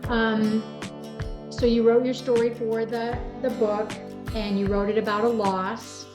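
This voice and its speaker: An encouraging middle-aged woman hosts a casual group conversation. Her voice sits at an ordinary pitch and carries a roomy echo. Faint music plays along with it. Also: little pitch movement, a little slow. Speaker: host. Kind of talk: casual group chat interview.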